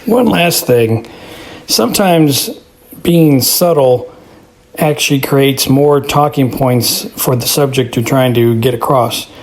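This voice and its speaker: Male listener commentator from Texas, average pitch, informal, halting and repetitive while thinking aloud. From Texas, United States. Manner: halting, repeats words as he reasons. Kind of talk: casual spoken commentary.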